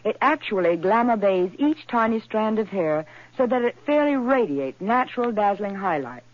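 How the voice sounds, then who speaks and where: A woman's voice: mid-range voice, lively intonation, normal speed, natural voice. A woman playing an enthusiastic female shampoo spokeswoman in a 1940s radio commercial, talking in a gushing, upbeat way. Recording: dull old radio recording.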